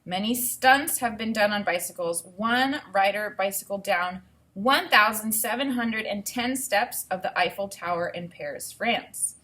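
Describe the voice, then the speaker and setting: Lady teacher reading aloud for kids, medium pitch, talks thru her thinking step by step. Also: unhurried, bright, forceful tone. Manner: instructive, explains step by step. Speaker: elementary school teacher. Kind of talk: read-aloud lesson, thinking aloud to children.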